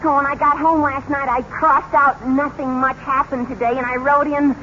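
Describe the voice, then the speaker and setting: Through a muffled, noisy old radio recording comes a painfully shy teenage boy from a radio comedy. His voice is very high, and he gushes and hesitates with lovestruck earnestness. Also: little pitch movement, moderate pace, sharp tone. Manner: gushing, hesitant, bashful. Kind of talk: 1950s radio comedy acting.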